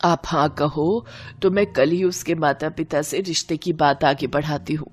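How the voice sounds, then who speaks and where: A chatty middle-aged landlady in a domestic audio tale, voiced by a woman. She talks in a lowered pitch, familiar and persuasive, with soft music underneath. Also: steady tone, moderate pace, slightly rough. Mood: persuading.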